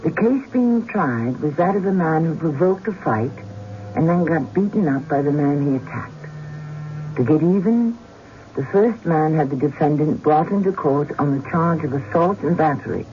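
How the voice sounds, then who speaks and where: A man's voice: a little high, expressive, moderate pace. A man giving scripted, theatrical narration as the host of a radio drama. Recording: dull, noisy old radio broadcast.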